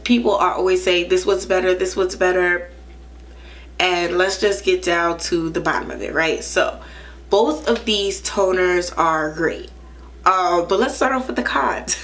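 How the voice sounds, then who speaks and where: A chatty woman in her thirties reviews skincare to camera, her voice a bit low and nasal from a stuffy nose. She talks casually and keeps checking in with a quick "right?". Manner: chatty, says "right?" often. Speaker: beauty vlogger. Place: small indoor room, plain wall.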